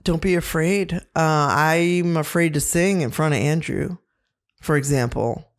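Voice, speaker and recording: A middle-aged woman with a low voice, co-hosting a casual podcast and giving earnest, reflective advice. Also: measured pace. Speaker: co-host.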